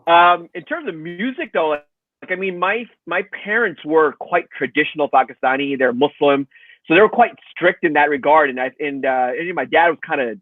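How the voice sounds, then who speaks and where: A man talks in a relaxed, chatty way over a video call. His voice sits fairly high and comes through dry and tinny, like a phone line. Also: moderate pace, no echo. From Hong Kong. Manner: relaxed, chatty, laughs easily. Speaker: guest. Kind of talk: casual video call chat.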